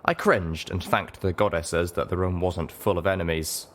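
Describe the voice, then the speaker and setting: A man's voice: low voice, steady tone, normal speed, fairly bright tone. A man reading fiction aloud as scripted audiobook narration. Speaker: reader, narrator.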